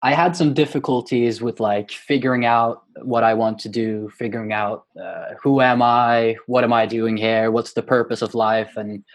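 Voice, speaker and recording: A man's voice: mid-range voice, fairly even intonation, normal speed, hardly any pauses. A young man from Norway in his twenties, answering interview questions in a casual, hesitant way with many fillers and restarts. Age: 20s. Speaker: guest poet.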